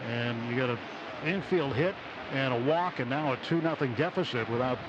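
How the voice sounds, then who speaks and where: A man's voice: medium pitch, normal speed. A man giving conversational color commentary on a radio baseball broadcast. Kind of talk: conversational radio sports analysis. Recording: dull radio audio with ballpark noise. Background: steady ballpark noise.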